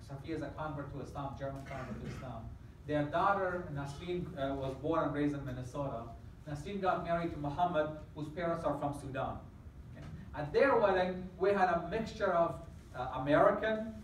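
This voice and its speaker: A man's voice: on the high side, a little hiss or hum. A middle-aged man from Afghanistan giving a campus lecture, asking the audience questions as he explains. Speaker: guest lecturer. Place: large lecture hall indoors. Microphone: far camera at the back of the hall. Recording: echoey hall from far off.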